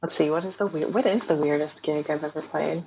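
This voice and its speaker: A woman's voice: deep voice, steady tone, brisk, warm tone, slightly rough, highs cut off. A woman in her forties, a singer-songwriter guest telling stories in a casual interview, laughing often. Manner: chatty, laughs often, storytelling.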